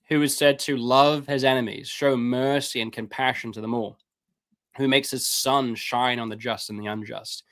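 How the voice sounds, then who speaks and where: A man on a live stream reading Bible passages aloud and commenting on them, with casual asides and his voice going hoarse. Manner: reads scripture aloud then comments, rises in pitch when fervent; casual asides, repeats words, clears throat. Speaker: streamer teaching on scripture.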